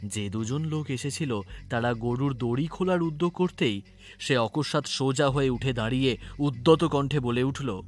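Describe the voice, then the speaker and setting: A man narrates a scripted audio story. His voice sits in the middle range and his storytelling is expressive, with soft music underneath. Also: medium pitch, moderate pace.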